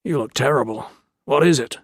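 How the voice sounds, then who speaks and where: A man doing a gruff, barking officer. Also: mid-range voice, brisk, no room sound, clean studio sound. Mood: brusque. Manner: blunt, barking orders. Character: gruff American officer, male, blunt and shrewd.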